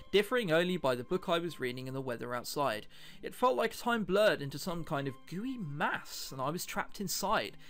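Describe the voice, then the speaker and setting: A man's voice: fairly high pitch, creaky, fairly bright tone. A British man hosting a let's play, reading a visual novel aloud and adding chatty, jokey commentary. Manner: jokey, chatty asides between read passages.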